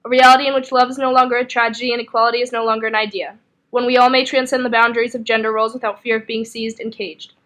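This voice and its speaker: A woman performing slam poetry as a spoken word poet, with dramatic, emphatic, deliberately phrased delivery. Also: fairly high pitch, level tone, a bit bright, rough recording.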